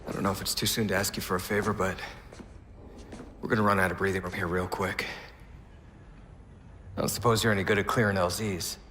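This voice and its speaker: A man's voice: deep voice, little pitch movement, a little fast, pauses often, pushed and bright, in a large hall, slight background noise. A man playing an earnest, friendly naval officer in a video game cutscene.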